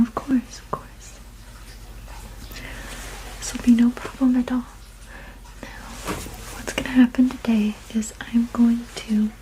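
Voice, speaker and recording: A woman whispering softly and breathily in a soothing, reassuring, unhurried ASMR roleplay as a sleep therapist. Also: a little high, slight background noise, low-quality recording. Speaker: ASMR performer playing a witch sleep therapist.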